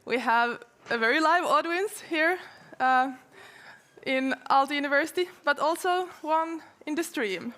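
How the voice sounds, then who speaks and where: A woman in her twenties with a distinctly high voice acts as co-host of a live event. She addresses the audience in the venue as a student presenter. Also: measured pace, pushed and bright.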